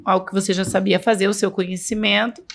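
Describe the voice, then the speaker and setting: A woman's voice: medium pitch, level tone, fast. A woman hosting a podcast, prompting her guest with encouraging questions. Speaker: podcast host, interviewer. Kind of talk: casual interview.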